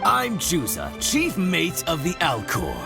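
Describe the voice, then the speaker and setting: A breezy ship's chief mate from a video game. He has a gravelly voice and swings widely in pitch, casual, boastful and chatty, with soft music behind. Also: huge pitch swings, slow pace, full character voice. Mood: jovial. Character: breezy, boastful, plain-spoken sailor, chief mate of a ship. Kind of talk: video game character acting.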